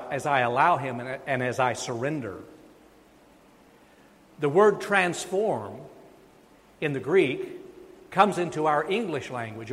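A man's voice: on the high side, fairly bright tone. A male pastor preaching a sermon, emphatic and rising in volume on key points. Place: church sanctuary. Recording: long reverb of a church sanctuary.

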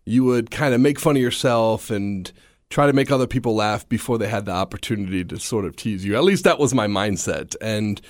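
A man's voice: fairly low pitch, brisk, no room sound, studio quality. A man, a stand-up comedian, chatting in an interview with a slightly scratchy voice, self-deprecating and often laughing. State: slightly scratchy voice after a long day. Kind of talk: casual interview chat.

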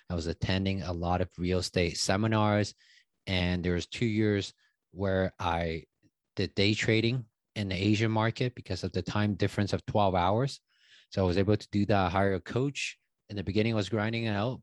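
A man, a guest telling his story in a casual interview, loose and halting with many fillers. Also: sits low, dry recording. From Taiwan. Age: 30s. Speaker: guest, stock options coach.

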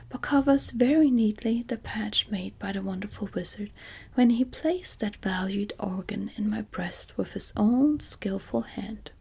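A courteous tin man emperor, stately and earnest, voiced by a woman in a hushed whisper. The sound is dark and mellow with a gravelly, breathy grain. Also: medium pitch. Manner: soft whispered delivery.

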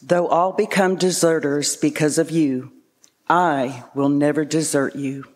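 Man as loyal male disciple, insistent, earnest, slow delivery, long church reverb. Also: a little high, fairly even intonation, natural voice. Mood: earnest resolve. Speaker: scripture reader, church member.

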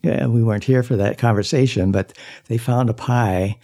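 An older man speaking as an interview guest, halting with many fillers and soft chuckles. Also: mid-range voice, steady tone. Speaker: guest, service club member. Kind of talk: casual interview chat.

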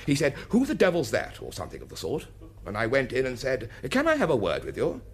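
An actor playing a blustering, evasive English aristocrat in a radio drama, with a clipped upper-class accent and a hesitant, old-fashioned manner. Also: male voice, medium pitch, wildly swooping pitch, quick pace. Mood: indignant.

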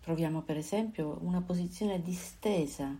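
Lady doing a body scan meditation, low mellow voice, calm short phrases w pauses. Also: breathy edge. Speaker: meditation guide.

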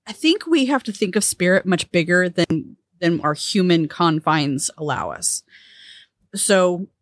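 A woman's voice: lowish voice, no echo. A woman co-hosting a podcast, talking in a reflective way as she searches for her words. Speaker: podcast co-host. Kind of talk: casual conversation.

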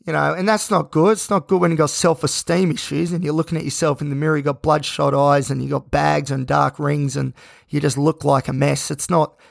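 A sleep-deprived man with a croaky voice, talking in a rambling, self-reflective personal monologue as host of his own show. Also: on the high side, little pitch movement, brisk, dry recording, clean studio sound. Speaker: podcast host.

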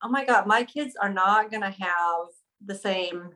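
A woman's voice: medium pitch, measured pace, a bit bright. A woman from Sweden, a podcast guest telling her story conversationally and searching for words. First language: Swedish.

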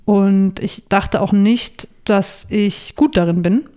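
A young woman with a smooth, clear voice of middle pitch talks as a podcast guest. She answers in a friendly way and explains things patiently, at length. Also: takes it slowly, dark tone. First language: not English. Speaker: podcast guest, online writer. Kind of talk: conversational interview answers.

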